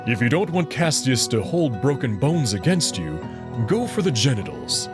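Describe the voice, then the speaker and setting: Confident male alien wolf, acted by a man, teasing yet protective, formal edge, very expressive pitch, visual novel with clear backing music. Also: moderate pace, natural voice. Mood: instructive, amused. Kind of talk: visual novel character acting. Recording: music behind the voice.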